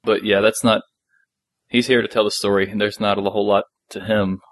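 A man co-hosting a fan podcast, recapping an episode in casual, loose and halting talk. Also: on the low side, level tone, dry recording.

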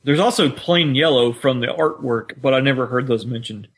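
Male podcast co-host, mid-pitched voice, casual fan-discussion delivery. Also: normal speed, a bit bright, breathy edge. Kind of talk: casual discussion of an audio drama.

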